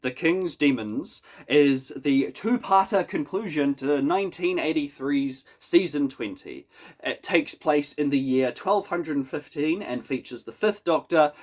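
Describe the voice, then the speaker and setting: A man's voice: on the high side, expressive, measured pace. A man with a New Zealand accent and a head cold, giving a chatty, wry spoken review in a casual, self-deprecating way from his small apartment. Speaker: YouTube reviewer.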